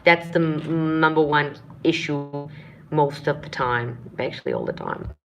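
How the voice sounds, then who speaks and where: A woman with a lowish speaking voice teaches singing on a live stream. She explains technique step by step, breaking into demonstration syllables and short sung lines, and calls her viewers friends. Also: unhurried, gravelly, faint music behind, low-quality recording. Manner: addresses viewers warmly as friends and dears. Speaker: vocal coach. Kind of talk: live-streamed singing lesson answering chat questions.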